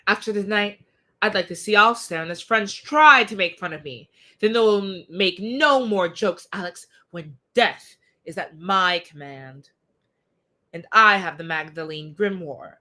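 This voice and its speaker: Casting: male actor as older cult leader and father, audio drama. Forceful, sharp-edged tone, pompous, incantatory, born to command. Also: sits high, measured pace, exaggerated voice. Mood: triumphant, scheming. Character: grandiose, imperious older father, occult order leader. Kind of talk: occult audio drama acting.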